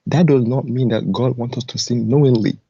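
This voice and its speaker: A man's voice: mid-range voice, a little fast, dark tone, husky, no echo. A man from Nigeria, calling in to an argumentative debate, explaining his position firmly in formal words over a muffled connection. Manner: firm, explanatory, formal wording. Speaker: debate caller. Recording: muffled lo-fi call, dry, clicks.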